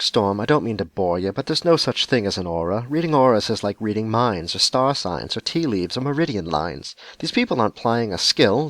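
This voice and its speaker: A man recites beat poetry with a sardonic, emphatic edge. His pitch moves around expressively and often drops into a crackly fry. The recording is close and dry, and he swears freely as he tells the story in rhyme. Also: no room sound. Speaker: podcast host.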